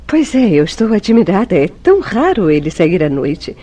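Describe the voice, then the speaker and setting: A woman's voice: fairly low pitch, very animated, normal speed, dark tone. A woman playing a composed, evasive young bride in a vintage Brazilian radio soap, with theatrical, formal diction. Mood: amused, deflecting.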